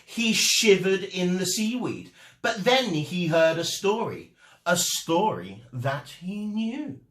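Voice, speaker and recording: A man narrating a children's storybook in an expressive, deliberate and dramatic way as a storytime reader. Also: sits high, roomy sound.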